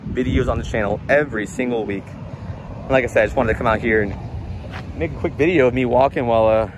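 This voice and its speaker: A man's voice: mid-range voice, quick pace, lo-fi sound. A young man talking off the cuff in a casual vlog, blunt and emphatic, repeating himself to drive points home as he walks outdoors. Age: young adult. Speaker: car vlogger giving money advice. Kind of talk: casual unscripted vlog rant. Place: outdoors, walking while recording. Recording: outdoor recording with street noise.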